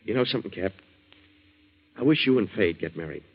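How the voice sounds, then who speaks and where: Husky, hot-headed young waterfront tough. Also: male voice, fairly low pitch, lively intonation, brisk. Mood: resigned, earnest. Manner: blunt, slangy speech. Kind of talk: 1940s radio drama acting. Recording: dull 1940s radio recording.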